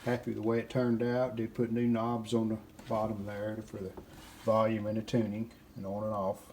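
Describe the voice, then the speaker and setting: A man's voice: lowish voice, completely flat delivery, moderate pace, darkish tone, a little hiss or hum. A man talking casually through a hands-on restoration in a plain, matter-of-fact way, in short clipped sentences. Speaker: host of a vintage radio repair video. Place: indoors at home.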